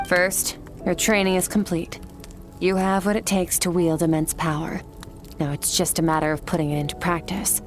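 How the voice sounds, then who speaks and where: A woman's voice: on the low side, natural voice. A woman voicing a cool, aloof warrior from beyond the stars in an anime game, composed, terse and formal.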